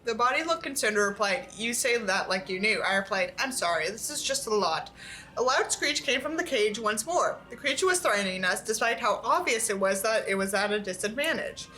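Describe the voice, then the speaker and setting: A woman reading a book aloud in an expressive, exasperated way, with sarcastic asides. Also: on the high side, fairly quick, rough recording.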